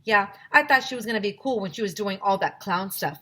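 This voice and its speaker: A woman's voice: mid-range voice, fairly quick, fairly bright tone, lightly acted. A woman voicing a chatty schoolboy who muses and complains, from a children's storybook. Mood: disappointed. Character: chatty, easily impressed schoolboy, Michael.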